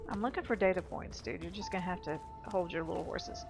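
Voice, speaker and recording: A woman's voice: fairly low pitch, quick pace, gravelly, music in the background. A woman giving casual gameplay commentary, chatty and explanatory, often hedging her thoughts.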